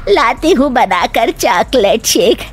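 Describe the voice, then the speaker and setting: A woman's voice: on the high side, very animated, slow pace, full character voice. A woman voicing an exasperated older mother-in-law who scolds her daughter-in-law. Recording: faint music behind.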